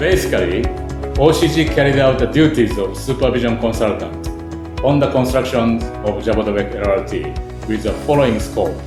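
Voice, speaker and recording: A man's voice: mid-range voice, reverberant. A man giving a scripted corporate narration, steadily listing points one by one.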